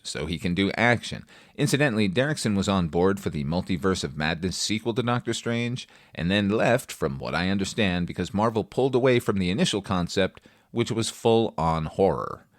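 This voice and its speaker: A man with a fairly low voice delivers a film review as a podcast host. He is wry and opinionated, swears freely, and is recorded close and dry. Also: expressive, brisk, no room sound.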